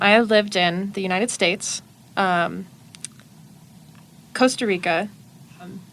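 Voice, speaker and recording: A woman's voice: mid-range voice, a bit bright. A woman in her twenties, a guest on an interview podcast, telling about her family and life in an earnest, matter-of-fact way. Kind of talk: casual interview conversation.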